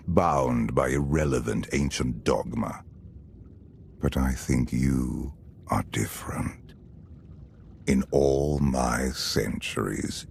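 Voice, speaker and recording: Ancient, very deep gravelly emperor. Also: male voice, darkish tone, a little hiss or hum, heavily acted. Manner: grandiose, measured, commanding. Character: ancient male immortal emperor, imperious, calm, megalomaniac. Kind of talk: video game cutscene acting.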